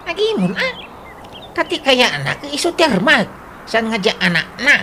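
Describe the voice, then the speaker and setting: A woman's voice: on the high side, huge pitch swings, normal speed, sharp tone, heavily acted. A woman playing a pushy, lovestruck man in a comedic radio drama, with a Filipino accent and a wheedling, exclamatory delivery. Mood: excitable. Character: pushy, lovestruck man courting a much younger girl. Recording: clearly noisy radio drama recording.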